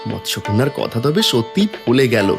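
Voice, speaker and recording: A man's voice: medium pitch, very animated, normal speed, creaky. A man playing a humble, generous village farmer in a cartoon folk tale, earnest and full of wonder. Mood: awed. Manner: awed, earnest. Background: background music.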